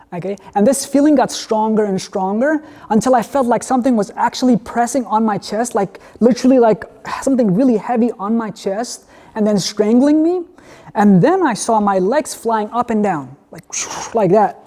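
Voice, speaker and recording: Man, university lecturer, mellow tone, conversational, asks the audience questions, long hall reverb. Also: high voice. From Denmark. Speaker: guest lecturer.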